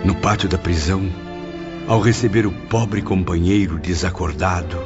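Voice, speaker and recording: A man's voice: low voice, steady tone, normal speed, natural voice. A man playing a cruel, tormented male spirit confessing his crimes, theatrical and bitterly mocking, in an audiobook drama. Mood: haunted remorse. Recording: muffled audio under loud music.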